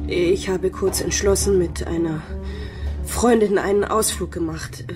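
A woman's voice: on the low side, unhurried, music in the background, natural voice. An actress playing a deluded, imperious woman with an erratic, haughty manner in a crime radio play. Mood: eerily composed. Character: deluded, unstable young woman with split personality, imperious.

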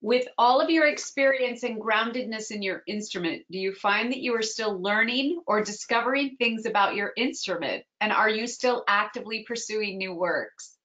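A woman's voice: mid-range voice, moderate pace, few pauses, fairly bright tone. A woman hosting an interview over a webcam, reading audience questions to her guest. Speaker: host. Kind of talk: video-call interview. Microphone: video call webcam. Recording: dull lo-fi webcam audio.

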